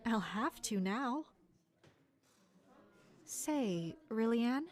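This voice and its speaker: A woman acts a young noblewoman in an audio drama, soon to be married. The voice is on the high side. She is chatty and inquisitive, speaking with friendly candor. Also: lively intonation, drawn-out words, darkish tone. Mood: warm, chatty.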